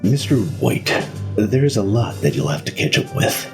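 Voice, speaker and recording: A man's voice: fairly low pitch, big pitch swings, normal speed, over music. A man playing a domineering, cynical government official in an audio drama, commanding and smugly assured.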